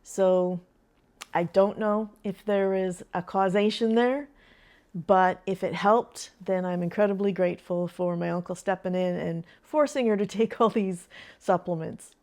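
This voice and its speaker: An older woman, a retired teacher and grandmother, talks in a chatty, earnest way to camera, sharing advice and often laughing softly between thoughts. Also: mid-range voice, unhurried. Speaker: vlogger. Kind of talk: casual advice vlog.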